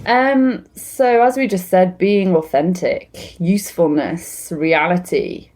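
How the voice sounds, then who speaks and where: Low-voiced Welsh woman, chatty guest. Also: takes it slowly, darkish tone, soft background music. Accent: Welsh-English, mixed with London and Canadian influence. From Wales. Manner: chatty and reflective, with frequent ums and restarts. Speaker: guest, ESL teacher. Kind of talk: conversational interview answers. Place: lives in Canada.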